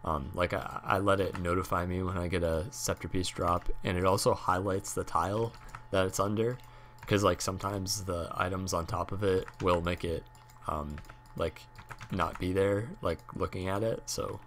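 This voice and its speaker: A young man with a hoarse voice from a cold, rambling casually with many fillers while talking about a game he streams. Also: deep voice, steady tone, normal speed, a little hiss or hum. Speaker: gaming YouTuber and streamer. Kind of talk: casual talk over gameplay.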